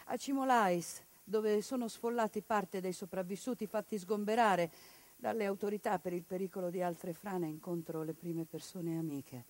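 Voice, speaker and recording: A woman performing a one-woman theatre monologue, speaking with passion and swinging between hushed lines and forceful ones. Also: medium pitch, steady tone, moderate pace.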